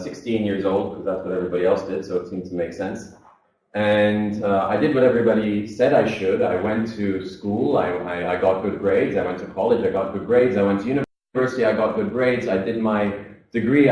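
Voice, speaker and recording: A man's voice: lowish voice, a little mellow, muffled recording. A man in his thirties giving a motivational seminar talk, telling his life story and acting out the voices of the people in it. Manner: storytelling, acts out quoted voices. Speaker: seminar speaker. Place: seminar hall. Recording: echoey room, from far off.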